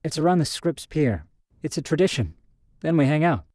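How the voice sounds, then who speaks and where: A man voicing a friendly, lively young woman who talks in a chatty, slightly hurried way. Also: on the high side, no room sound, clean studio sound, pitched above the performer's own voice. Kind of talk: audio drama short story reading.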